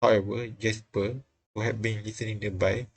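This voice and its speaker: A man reading visual novel dialogue and narration aloud on a live stream, halting and repeating lines as he goes. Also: fairly low pitch, flat delivery, husky. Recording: music playing behind the voice. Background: game music.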